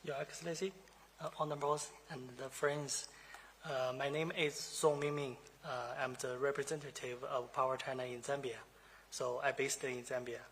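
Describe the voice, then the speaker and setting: A man's voice: medium pitch, level tone, moderate pace, fairly bright tone, slight background noise. A man introducing himself as a company representative, hesitant and repeating words. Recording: reverberant meeting hall.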